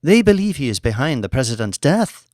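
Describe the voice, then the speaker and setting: A man's voice: mid-range voice, very animated, a little fast, a little mellow, no room sound, voice pushed up, natural voice. Male narrator as female British diplomat, formal, firm, wary, professional, audiobook dialogue, dry close recording. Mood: grave, matter-of-fact.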